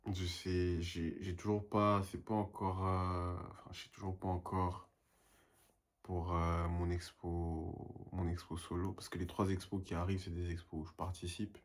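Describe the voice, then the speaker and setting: Husky low-voiced young man. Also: level tone, unhurried. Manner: self-motivating, rambling, drops English phrases. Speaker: painter. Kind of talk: casual vlog monologue.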